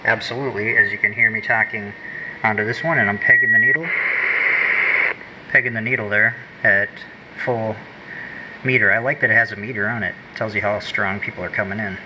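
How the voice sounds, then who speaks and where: A man's voice: lowish voice, steady tone, brisk, dull audio. A man giving a casual, unscripted hands-on product demo in a plain-spoken way, talking as he handles the gear. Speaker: gear reviewer demonstrating walkie-talkies. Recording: loud radio static and background noise.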